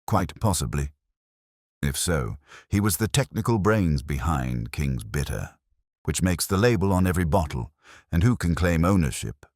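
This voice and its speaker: A man reading as a wry, low-voiced inspector. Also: dry recording, clean studio sound, heavily acted. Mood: measured. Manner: calm, measured and wry. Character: mild, dry-witted male police inspector.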